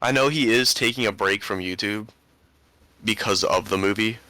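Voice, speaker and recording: Bright, clear-voiced young man chatting. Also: lowish voice, normal speed, no echo. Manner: laid-back, rambling storytelling with slang. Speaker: podcast host. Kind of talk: casual chat.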